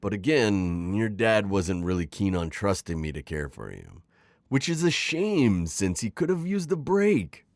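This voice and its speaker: A man performs a middle-aged retired magical villain in an intimate ASMR roleplay. His low voice swings dramatically up and down as he coaxes and teases. The delivery is theatrical and sly, recorded very dry. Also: big pitch swings, a little slow, dry recording. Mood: smooth, feigned sincerity. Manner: theatrical, teasing, manipulative coaxing with sighs and chuckles. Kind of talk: scripted ASMR roleplay acting.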